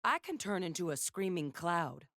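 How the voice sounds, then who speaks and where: A woman's voice: fairly low pitch, sing-song, normal speed, very noisy. A woman playing a battle-hardened, wry and defiant young gunslinger in a video game, speaking in a dry, clipped, commanding way. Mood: wry teasing. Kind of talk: video game character voice lines.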